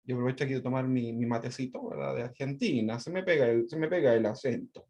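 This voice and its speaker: A man's voice: mid-range voice, fast, darkish tone. A man with a Chilean accent teaching an online Bible class. He repeats his points and keeps checking in with "¿verdad?". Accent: Chilean Spanish. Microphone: video call.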